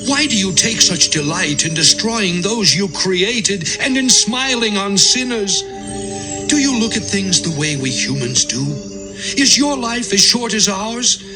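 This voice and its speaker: Anguished man crying out to heaven; high, very animated, impassioned scripture drama over music. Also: unhurried. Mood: anguished protest. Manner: impassioned, lamenting, dramatic delivery. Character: anguished suffering man pleading with God. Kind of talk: dramatised Bible audio acting.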